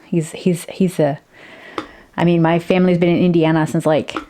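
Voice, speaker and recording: A woman's voice: on the low side, level tone, moderate pace, dark tone. A woman giving casual reaction commentary, rambling with many restarts and fillers. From United States. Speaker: YouTuber reacting to a video.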